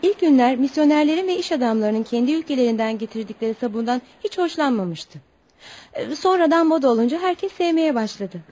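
A woman's voice: high-pitched, expressive, fairly quick, mellow. An actress playing an earnest, naive and proud young woman telling her story politely in a radio play. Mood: polite, chatty. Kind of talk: radio theatre acting.

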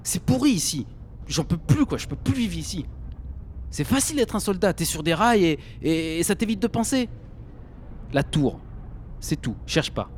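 A man's voice: high-pitched, big pitch swings, moderate pace, long pauses, darkish tone, slight background noise. Man playing a jaded, scornful young conscript. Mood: fed up. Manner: slangy, scornful. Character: jaded, cynical, restless young male conscript. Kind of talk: audio drama acting.

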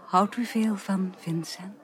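An actress playing a melancholy, earnest young upper-class woman in a Dutch radio drama, speaking formally and softly. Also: mid-range voice, steady tone, slow pace, natural voice. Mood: polite, tentative. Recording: radio drama with music underneath.

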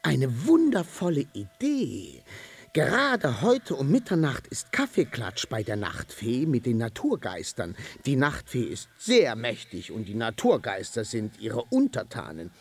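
A man's voice: mid-range voice, creaky, husky, exaggerated voice. An actor playing an ancient, grumpy but good-hearted Sandman in a children's radio play, blustering and muttering to himself.

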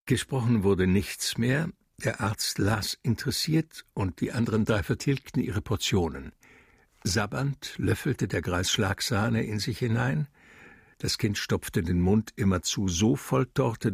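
Male radio drama narrator, husky low voice, literary reading, dry studio sound. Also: dry recording.

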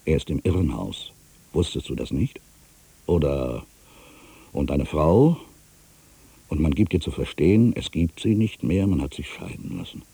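An actor playing a melancholy writer in his fifties, musing haltingly to himself in a radio play monologue, with a gravelly, husky voice. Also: rumbling low, animated, mellow, no room sound, full character voice. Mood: bitter, resigned. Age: 50s. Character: aging melancholy male writer back in his hometown.

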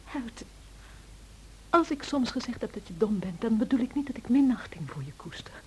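A woman's voice: on the high side, expressive, natural voice. An actress playing a spirited, proud yet tender young lady in a radio play, speaking emphatically, on a hissy old recording. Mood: pleading. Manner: emotional, emphatic, halting.

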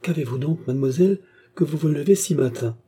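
A man's voice: on the high side, quick pace, dark tone, breathy edge, pitched above the performer's own voice. A man voicing a devoted, fussy fifty-year-old maidservant from a storybook, exclaiming and scolding with deference. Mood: alarmed, fussy. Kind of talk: audiobook character dialogue.

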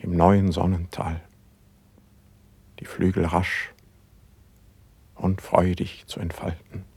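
An actor playing a sensitive, driven, melancholy young poet in a classical verse radio drama, with fervent, declaimed diction and a husky voice. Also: male voice, sits low, flat delivery, takes it slowly, a little mellow, full character voice. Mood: fervent, anguished.

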